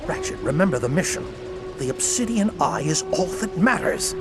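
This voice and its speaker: An actor playing a brisk, driven alien general with an urgent, commanding manner in a video game cutscene. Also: male voice, high voice, huge pitch swings, over music. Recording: vehicle noise behind.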